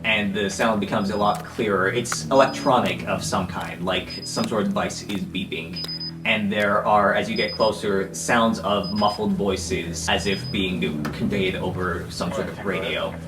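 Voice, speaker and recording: A man's voice: fairly low pitch, fairly even intonation, unhurried. A man narrating a roleplaying game as game master in a conversational, descriptive way. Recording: roomy echo, music under voice. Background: background music.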